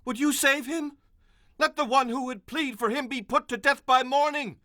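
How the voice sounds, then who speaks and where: Really high pitched defiant old testament dad guy acting, challenging, declamatory, dry sound. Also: steady tone, normal speed, sharp tone, no echo. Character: Joash, defiant father challenging an angry crowd. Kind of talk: scripted Bible dramatization.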